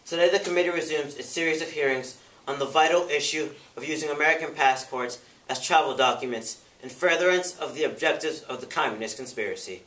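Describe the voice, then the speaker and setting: Man acting insistent committee official, stern, formal, pressing questions, dramatic monologue, forceful tone, distant echoey sound. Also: on the high side, fairly quick. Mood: brisk, official. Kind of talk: dramatic prose interpretation. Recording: echoey room, picked up from far off.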